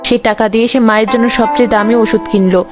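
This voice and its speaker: Fast-talking woman narrating a fairy tale. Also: a little high, steady tone, lo-fi sound, music in the background.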